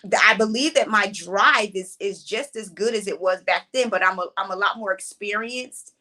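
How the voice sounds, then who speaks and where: A woman in her forties, a playwright and director, answering interview questions in a relaxed, reflective, conversational way. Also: mid-range voice, normal speed. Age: 40s.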